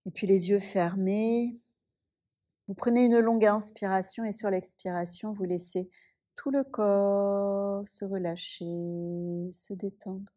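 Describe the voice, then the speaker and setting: A woman yoga teacher guiding a yoga nidra relaxation, speaking softly and soothingly with long pauses. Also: medium pitch, steady tone.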